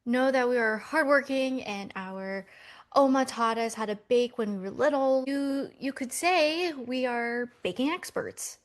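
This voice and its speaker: A woman's voice: fairly high pitch, unhurried, lightly acted. A woman playing an upbeat, eager, persuasive Millennial twin in a romcom table read.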